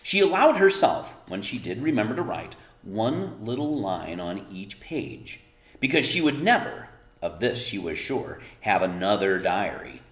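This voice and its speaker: Male storybook reader: animated, lowish voice, emphatic and explanatory, roomy echo. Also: a little slow. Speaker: read-aloud narrator explaining words to children. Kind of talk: expressive storybook read-aloud with casual asides. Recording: roomy, echoing room sound.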